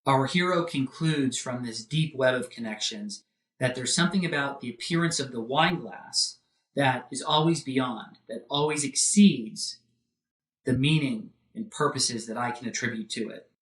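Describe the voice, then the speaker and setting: A man delivers an academic lecture in a measured, formal way. His mid-pitched voice sits back from the microphone in a noticeably roomy space. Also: a bit bright. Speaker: professor lecturing. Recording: roomy echo, distant microphone.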